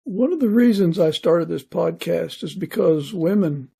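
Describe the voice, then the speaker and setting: A man's voice: on the high side, lively intonation, a little slow, gravelly, warm tone, breathy edge. A man hosting a podcast, asking questions in a casual interview style. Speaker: podcast host.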